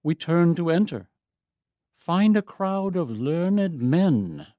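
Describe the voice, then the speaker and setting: Guy playing a troubled devoted dad telling his story like a poem, really slow n solemn, mellow voice. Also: fairly high pitch, very animated, dry recording, lo-fi sound. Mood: urgent, searching. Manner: solemn, measured, deliberate storytelling. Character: Saint Joseph, troubled, devoted stepfather recalling his lost boy. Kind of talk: dramatic poetry reading, first-person monologue.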